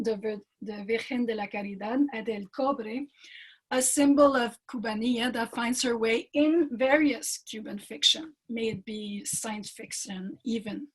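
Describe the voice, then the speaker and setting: Husky-voiced woman giving a research talk. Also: fairly high pitch, rough recording. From Montreal. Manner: measured, with frequent fillers and restarts. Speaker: doctoral fellow presenting research.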